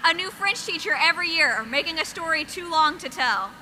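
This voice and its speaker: Very high, very bright young woman. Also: animated, a little fast, slight background noise, rough recording. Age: young adult. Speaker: graduating student speaker. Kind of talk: scripted speech.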